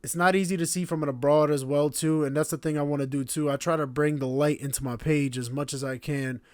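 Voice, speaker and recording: A man's voice: fairly high pitch, brisk, no echo, studio quality. Proud, casual man on podcast. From born in Slovenia, raised in Connecticut. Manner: relaxed, proud, repetitive phrasing. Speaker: podcast host. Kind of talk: casual monologue to camera. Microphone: camera mic.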